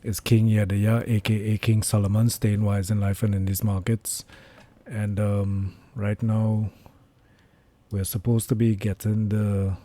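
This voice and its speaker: A man with a hoarse voice giving casual live commentary on his currency trades, thinking aloud with many fillers. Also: lowish voice, flat delivery, measured pace, warm tone. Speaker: trading livestreamer.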